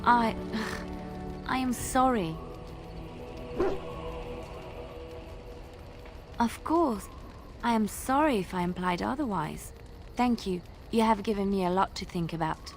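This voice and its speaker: An actress playing a gentle, earnest young minstrel in a video game, with a French accent and a polite, careful manner. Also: female voice, medium pitch, animated, a little slow. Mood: flustered, apologetic. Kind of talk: video game character acting. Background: music.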